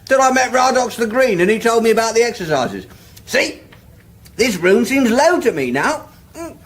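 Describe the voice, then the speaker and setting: A man's voice: very high-pitched, sing-song, moderate pace. A man playing a greedy, self-deluded little dwarf messenger in a comic fantasy radio drama, chatty, boastful and cheeky. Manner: cheeky, matter-of-fact, boastful.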